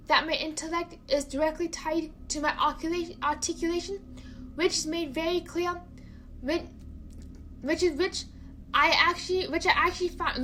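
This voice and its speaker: A young woman from China narrating a personal story, careful and halting, often repeating words. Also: high voice, sharp tone, a little hiss or hum, rough recording.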